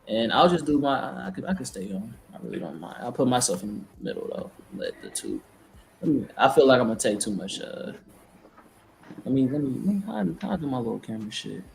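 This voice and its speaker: A man's voice: mid-range voice, wildly swooping pitch, fairly quick, gravelly, a little hiss or hum, low-quality recording. A man hosting a casual podcast over a video call, talking in a laid-back, slangy way and often asking "you feel me". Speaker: podcast host.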